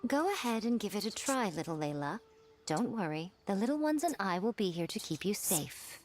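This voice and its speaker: A woman's voice: mid-range voice, very animated, moderate pace, darkish tone, soft background music, natural voice. An actress playing a poised, worldly and playfully teasing woman with genteel phrasing in an anime fantasy game. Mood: reassuring, thoughtful.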